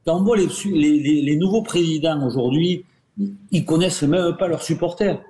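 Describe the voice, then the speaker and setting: A man's voice: a little high, normal speed, mellow, echoey room. A man with a Marseille accent speaking as a football pundit in a radio debate, making his points emphatically and repeating them.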